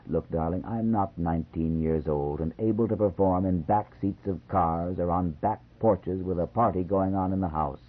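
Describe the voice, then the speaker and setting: A man voicing a calm, firm husband who speaks quietly and measured. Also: deep voice. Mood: firm gentle. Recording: tinny phone-like lo-fi sound.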